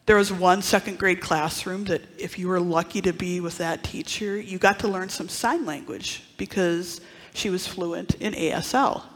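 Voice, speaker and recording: A preacher from northern Wisconsin giving a Pentecost-style sermon, speaking with dramatic pauses and dropping into hushed asides. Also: mid-range voice. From northern Wisconsin, USA. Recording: long reverb of a large hall.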